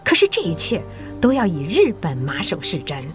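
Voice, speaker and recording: An actress playing a mature, patriotic school principal in an audio drama, encouraging and persuasive with measured authority. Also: female voice, lowish voice, huge pitch swings, music in the background. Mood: earnest indignation.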